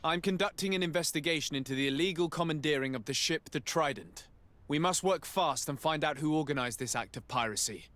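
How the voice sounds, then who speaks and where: An actor playing an earnest, courteous diplomat in a video game, speaking in a polite, formal and measured way. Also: male voice, a little high, a bit bright, close to an everyday voice. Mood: composed, inquiring. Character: courteous, earnest male diplomat, composed investigator. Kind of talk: dramatic video game dialogue.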